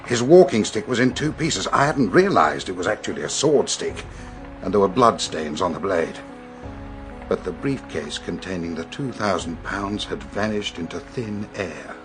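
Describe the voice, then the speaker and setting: An actor with a British accent playing a grave police superintendent, telling his story in a measured radio drama style. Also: deep voice, expressive, over music. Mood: grave, intent. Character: grave male police superintendent recounting a strange murder. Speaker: actor in a radio detective play. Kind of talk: British radio drama acting, recounting events.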